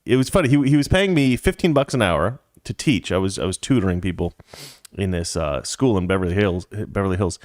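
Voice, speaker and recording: A man's voice: low-pitched, expressive, brisk, darkish tone, no echo, clean studio sound. A man hosting a talk show, giving casual political commentary in a rambling, conversational way that drifts into anecdotes.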